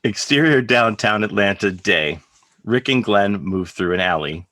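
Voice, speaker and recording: A man's voice: on the low side, a little slow, pushed and bright, no room sound. A man reading stage directions as scripted, present-tense narration for a table read. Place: remote video call table read, dry sound.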